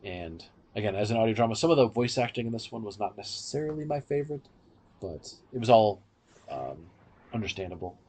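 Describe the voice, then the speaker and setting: A man's voice: lowish voice, expressive, brisk, darkish tone. A man hosting a podcast, giving an explanatory, opinionated review in casual discussion. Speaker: podcast host.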